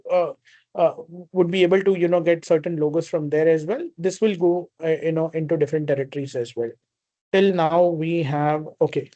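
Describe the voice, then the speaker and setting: A man's voice: fairly high pitch, dark tone, no echo. A man with an Indian accent, a company executive answering questions on an earnings call in an explanatory way, checking in as he goes.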